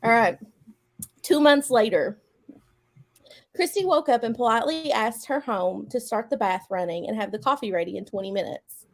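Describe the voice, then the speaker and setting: A woman's voice: on the high side, moderate pace, long pauses. A woman giving a dramatic reading of a story, mixed with casual chat among friends. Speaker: guest reader on a live show.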